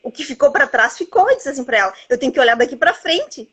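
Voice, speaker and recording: A talkative woman, her voice sitting a little high. She rambles warmly, tucking in tag questions and little asides as she talks over a book with its author. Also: expressive, fast. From southern Brazil border region. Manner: rambling, lots of "né" and "assim, ó". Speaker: bookseller interviewing an author. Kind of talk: casual book chat.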